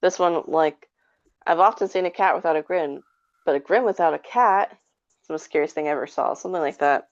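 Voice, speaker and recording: A woman chatting casually as a podcast guest, with hesitant, halting phrasing. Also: low-pitched. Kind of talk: casual chat. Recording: dull lo-fi call audio.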